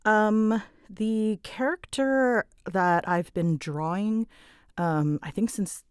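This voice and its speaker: Slow, halting woman in casual chat. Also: mid-range voice, clean tone, no echo, studio quality. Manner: rambling, self-deprecating, apologetic; halting, often breaks off mid-sentence. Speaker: audio roleplay creator answering fan questions.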